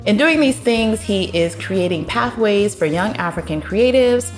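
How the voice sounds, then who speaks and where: A woman hosting an interview podcast, upbeat and lively, with many short affirmations as she listens. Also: medium pitch, measured pace, soft background music. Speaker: podcast host. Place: guest's home neighborhood.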